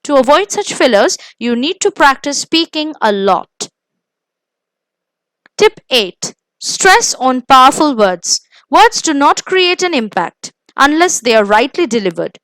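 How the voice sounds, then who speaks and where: A woman with an Indian accent and a high voice narrates an instructional lesson. Her delivery is scripted, measured and emphatic, as a coach giving tips. The sound is dry and close, with very little room in it. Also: big pitch swings, fairly bright tone, no echo, low-quality recording. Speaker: presenter of interview coaching lesson.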